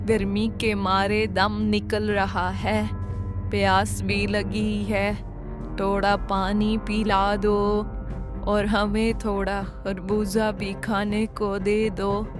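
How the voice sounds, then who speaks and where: A woman voicing a kindly, mysterious old beggar woman in a cartoon tale, pleading with drawn-out words. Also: medium pitch, little pitch movement. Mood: weary, pleading. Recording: music behind the voice.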